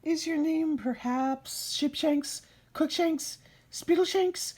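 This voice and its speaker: A young fairy-tale queen, voiced by a woman, lifted in pitch and unhurried. She has a hesitant, questioning way of talking, as a humble miller's girl would. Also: darkish tone. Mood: coy guessing. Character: young miller's daughter turned queen, anxious, then coy.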